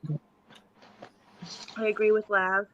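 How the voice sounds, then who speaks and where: A woman chatting casually on a livestream panel in a halting way. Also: medium pitch, level tone. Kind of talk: casual chat. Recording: dull, lo-fi microphone sound.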